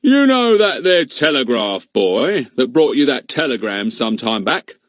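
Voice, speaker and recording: A man voicing a pompous, blustering village policeman who talks bossily in rough everyday grammar. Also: medium pitch, very animated, measured pace, fairly bright tone, no room sound, clean studio sound, pitched above the performer's own voice. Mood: bossy.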